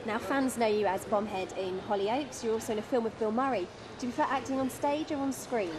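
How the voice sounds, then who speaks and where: A woman's voice: on the high side, fairly quick, over music. A woman presenter asking questions in a light entertainment interview.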